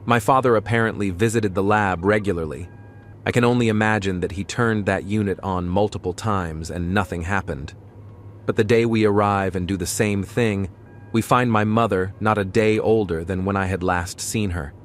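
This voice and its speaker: Man playing a starship captain, reflective, earnest, lowish pitch, audio drama, slight background noise. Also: normal speed, close to an everyday voice. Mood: reflective, regretful. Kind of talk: fan audio drama acting.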